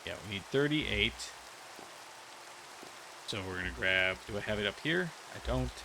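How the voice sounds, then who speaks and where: A man's voice: fairly low pitch, expressive, measured pace, vocal fry, noisy background. A man giving casual, rambling commentary while playing a video game, thinking aloud with many restarts. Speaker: gaming video creator. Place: cold room with a heater.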